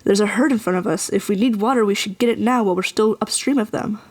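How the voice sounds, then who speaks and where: A woman's voice: medium pitch, quick pace, a little mellow, lightly acted. A woman playing an earnest, self-doubting young village woman in an audio drama, with a hesitant way of talking. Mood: alert.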